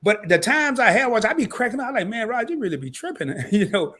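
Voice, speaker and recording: Animated man hosting a live stream. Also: extremely high pitch, fast talker. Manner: animated, slangy and laughing at himself. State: scratchy voice tonight. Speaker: host. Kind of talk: casual live-stream interview.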